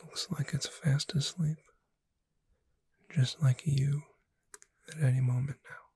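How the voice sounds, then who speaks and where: Slow whispering woman, very breathy ASMR. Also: rumbling low, fairly even intonation, warm tone, no echo. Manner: slow, deliberate, soothing. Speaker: ASMR performer. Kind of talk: whispered ASMR reading aloud for sleep.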